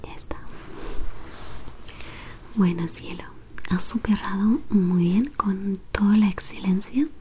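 A young adult woman whispering soothingly in an ASMR medical role play, giving gentle instructions in short phrases, close to the mic in a small room. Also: medium pitch, fairly even intonation, slow, pauses often, slight background noise. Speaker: ASMR role-player as clinic examiner.

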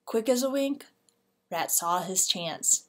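A woman narrating a children's storybook expressively, with deliberate, dramatic phrasing. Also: medium pitch, animated. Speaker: read-aloud teacher.

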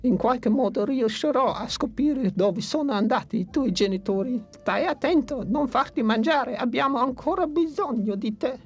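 A woman voicing a calm, wise long-necked dinosaur in a cartoon dub. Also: a little high, normal speed, few pauses. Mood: worried. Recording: music faintly under dialogue.

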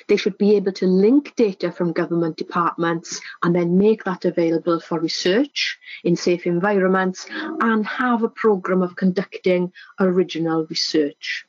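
A woman with a Welsh accent talks through a slide presentation in a measured, explanatory way, pausing to restart a phrase now and then. Her voice sits a little low for a woman. The recording is dry and slightly muffled, with no room sound. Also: a little mellow, no room sound. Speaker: researcher presenting.

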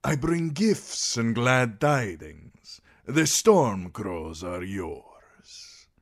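A man voicing a swaggering, flamboyant male sellsword captain, delivering lines with drawn-out theatrical flair. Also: mid-range voice, big pitch swings, voice pushed up, heavily acted. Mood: boastful.

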